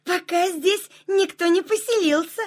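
Children's audio play: friendly forest creature, extremely high voice, knowing, helpful neighbour type. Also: female voice, unhurried.

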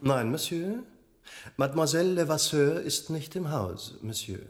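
Snooty stiff hotel porter, bit high voice, icy and formal, radio play. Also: male voice, lively intonation, unhurried. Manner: formal, frosty, clipped. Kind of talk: German radio crime drama acting.

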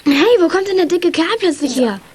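A female actor playing a gentle, earnest, animal-loving schoolgirl detective in a children's radio play. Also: very high voice, animated, moderate pace, a little hiss or hum. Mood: anxious.

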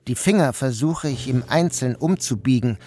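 A man giving calm, measured first-person narration of a literary story. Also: mid-range voice. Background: music.

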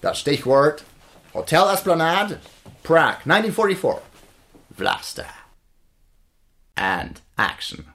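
An actor in a German radio drama playing a showy, sardonic, German-born American film officer, grand and commanding. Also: male voice, on the high side, sing-song, slow pace, long pauses, a bit bright, a little hiss or hum, full character voice. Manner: grandly presenting, commanding, mocking.

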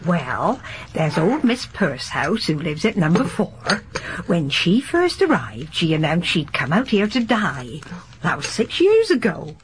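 A woman's voice: on the low side, huge pitch swings, unhurried, slight background noise. An actress playing a garrulous middle-aged village landlady in a radio drama, with a chatty, folksy manner. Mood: chatty, hospitable. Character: gossipy, garrulous older village landlady and charwoman. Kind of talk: broadcast radio drama acting.

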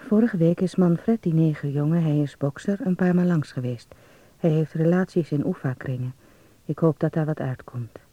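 An actor in a radio drama playing an earnest, naive young Dutch man, musing softly as he reads out his letter home. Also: a little high, normal speed, dry recording, close to an everyday voice. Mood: confiding. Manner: confiding, musing. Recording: dull, muffled close recording.

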